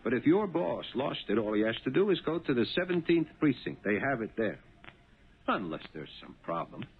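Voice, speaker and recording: An actor playing an anxious, honest working-class cab driver in a 1970s radio drama, hesitant and stammering. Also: male voice, mid-range voice, big pitch swings, normal speed, a little hiss or hum. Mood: wary, defensive. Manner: hesitant, stammering, nervously flustered. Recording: muffled band-limited 1970s radio recording.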